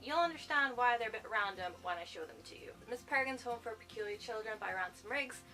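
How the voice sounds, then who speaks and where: A young woman, chatting to camera as a book vlogger, rambling and excitable and laughing at herself. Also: a little high, quick pace, faint music behind, rough recording. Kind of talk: casual chat to camera.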